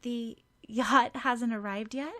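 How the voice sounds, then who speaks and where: Woman playing an earnest teenage heroine, slightly raised pitch. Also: steady tone, slow pace, a bit bright. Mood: dismayed. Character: earnest teenage anime heroine, caring and reassuring.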